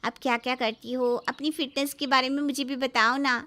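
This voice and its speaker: High, bright, girlish sister-in-law voiced by a woman. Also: little pitch movement, brisk. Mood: admiring. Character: admiring, outspoken young sister-in-law.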